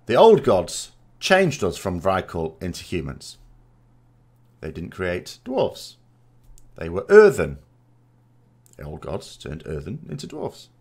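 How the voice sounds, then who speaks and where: A man's voice: low voice, slightly rough. A man hosting a vodcast, giving unscripted opinion commentary in a discursive way, reasoning aloud with rhetorical questions and asides. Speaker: vodcast host, gaming lore commentator.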